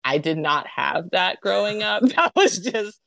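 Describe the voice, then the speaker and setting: A young woman from Southern California, a guest on a podcast, talking in a giggly, animated way. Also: medium pitch, a little slow, vocal fry, no room sound, studio quality. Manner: giggly and animated, with many fillers. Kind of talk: casual chat.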